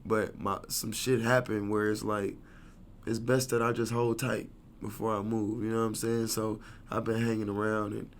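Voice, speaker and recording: A man's voice: fairly low pitch, little pitch movement, moderate pace, slight background noise. A man in his thirties from Kansas City, a rapper talking loosely and slangily as an interview guest. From Kansas City, USA. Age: 30s. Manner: laid-back, slangy, rambling. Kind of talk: casual interview chat.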